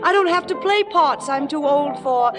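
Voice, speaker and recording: Headstrong stage diva with high, sweeping delivery. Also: female voice, lively intonation, moderate pace. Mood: pleading, relieved. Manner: dramatic, emphatic, clipped bursts. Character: impassioned middle-aged stage diva, headstrong. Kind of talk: 1950s radio drama acting. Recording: old-time radio broadcast with music.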